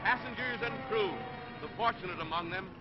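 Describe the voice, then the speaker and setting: A man with a high voice narrates a vintage 1930s newsreel. His scripted delivery is dramatic and grave. The soundtrack is dull and dated, with music clearly playing behind him. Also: fairly quick.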